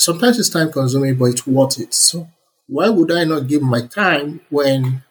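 A man's voice: medium pitch, a little hiss or hum, low-quality recording. Enthusiastic man. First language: Yoruba. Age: young adult. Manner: earnest and enthusiastic, many fillers. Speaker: interview guest, computer scientist. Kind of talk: relaxed conversational interview answers.

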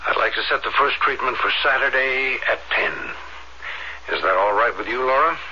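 A man playing a calm, probing psychiatrist in an old-fashioned radio drama, measured, professional and authoritative. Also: mid-range voice. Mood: assured. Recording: dull, noisy old radio recording.

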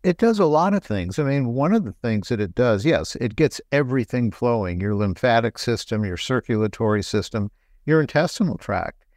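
A middle-aged man, a doctor co-hosting a health talk show, explaining things in a relaxed, conversational way. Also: mid-range voice, moderate pace, no echo, studio quality. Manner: explanatory, relaxed, laughs lightly.

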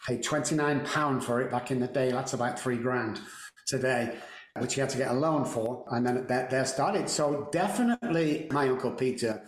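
A man's voice: mid-range voice, moderate pace, slight background noise. Mancunian man telling stories, echoey room. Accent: Mancunian English. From England. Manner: chatty, joking, self-deprecating. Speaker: podcast guest. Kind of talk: casual storytelling interview.

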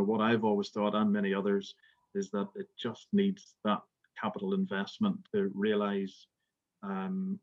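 A man's voice: on the low side, darkish tone, highs cut off. A middle-aged man from Belfast with a Belfast accent, a golf resort manager answering interview questions with many fillers and restarts. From Northern Ireland.

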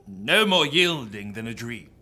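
A man's voice: medium pitch, sing-song, unhurried, pushed and bright, full character voice. A man playing a gloomy, fatalistic player in a Shakespearean audio drama, in a roomy recording. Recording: roomy, echoing sound.

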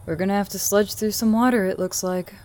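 A woman's voice: medium pitch, steady tone, a little fast, darkish tone, a little hiss or hum, lightly acted. An actress playing a plucky, defiant nineteen-year-old woman in a radio drama, dry and self-assured. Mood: dry, alert. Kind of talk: audio drama acting.

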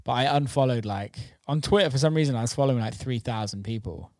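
A man's voice: medium pitch, fast, dry recording, studio quality. A man hosting a casual interview, chatting informally with plenty of slang and swearing. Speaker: interviewer and host. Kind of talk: casual chat.

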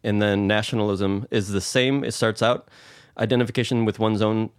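A man's voice: lowish voice, steady tone, moderate pace, clean tone, dry recording, clean studio sound. An American man co-hosting a podcast discussion, explaining his views conversationally as he thinks aloud. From United States. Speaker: podcast co-host.

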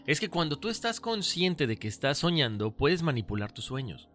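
Lively high-voiced man co-host. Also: normal speed, soft background music. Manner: animated, chatty, talks to the co-host by name. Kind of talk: casual chat.

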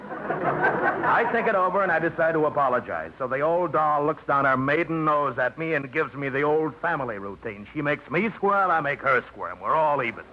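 An actor playing a brash wisecracking trucker. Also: male voice, a little high, lively intonation, normal speed, close to an everyday voice. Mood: cocky. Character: brash cocky male trucking boss. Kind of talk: 1950s radio drama acting. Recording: dull old radio broadcast, faint hiss.

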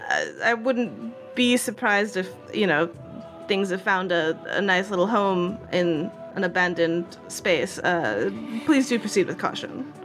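A woman narrating and running a tabletop game as game master, lively and laughing often. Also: fairly low pitch, measured pace, faint music behind, poor audio.

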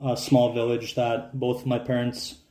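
A man, a podcast guest who grew up speaking Croatian, talking in a relaxed, thoughtful way with many fillers. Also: medium pitch, a little slow, reverberant. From Canada. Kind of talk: casual podcast chat.

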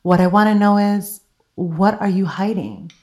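A woman's voice: medium pitch, measured pace, darkish tone, lightly acted. A woman playing an insistent, scheming girlfriend who presses for secrets in an audio drama. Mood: challenging, insistent.

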